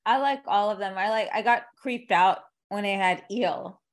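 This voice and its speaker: A woman's voice: medium pitch, normal speed. A woman hosting a casual interview, chatting in an informal, rambling and joking way. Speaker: interviewer, podcast host.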